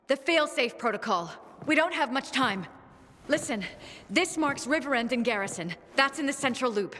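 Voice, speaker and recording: A woman's voice: sits high, lively intonation, moderate pace, full character voice. An actress playing an anxious, determined woman researcher in a video game cutscene, speaking in urgent, clipped phrases. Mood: urgent, grave. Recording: loud music behind.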